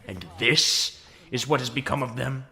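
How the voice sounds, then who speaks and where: A man's voice: medium pitch, a little slow, creaky, pushed and bright, full character voice. A man playing a commanding, brooding robot warlord in a fan comic dub, with grandiose, menacing, theatrical oratory. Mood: grandiose, wrathful. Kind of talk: dramatic villain monologue and narration, fan comic dub.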